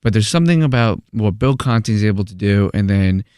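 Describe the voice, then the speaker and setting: Male radio guest, lowish smooth voice, rambling and hunting for words, dry studio sound. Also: expressive, brisk, darkish tone, no room sound. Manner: rambling, searching for words with many "like"s. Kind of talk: casual radio conversation.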